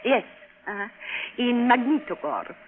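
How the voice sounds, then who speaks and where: Casting: timid young female space pilot, halting and stammering, vintage radio drama with clear background noise. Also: a little high, sing-song, phone-quality sound. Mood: shy, tender. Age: young adult. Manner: hesitant, stammering. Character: shy, anxious young woman rocket pilot from Russia. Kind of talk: 1950s radio drama acting. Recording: noisy vintage radio recording.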